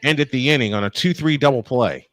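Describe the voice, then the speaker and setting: A man's voice: medium pitch, fairly quick, fairly bright tone, dry recording. A man doing live baseball play-by-play, mixing commentary with casual banter as host.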